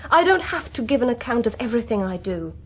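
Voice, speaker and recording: Husky, defensive young British woman. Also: on the high side, sing-song, quick pace. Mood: defiant. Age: young adult. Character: defensive, sharp-tongued grown daughter of a politician. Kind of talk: naturalistic radio drama acting.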